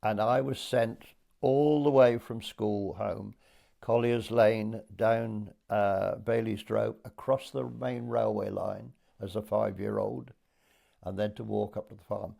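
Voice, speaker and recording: An Englishman in his eighties from Dorset, recalling his childhood for an oral history interview in a hesitant storytelling way with pauses. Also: fairly low pitch, steady tone, creaky, dark tone. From Dorset, England. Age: 80s.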